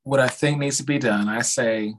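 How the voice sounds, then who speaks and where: A man's voice: medium pitch, normal speed. A man co-hosting a gossipy chat, speaking casually in blunt, profane, sassy slang. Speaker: podcast co-host. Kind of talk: casual gossipy chat about reality television.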